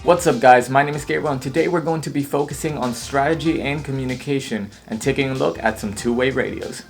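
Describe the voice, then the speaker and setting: A man's voice: medium pitch, fairly quick, vocal fry, faint music behind. A young man hosting a casual product review vlog, speaking to viewers. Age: young adult. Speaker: host reviewing gear. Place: home room studio, later outdoors on a street.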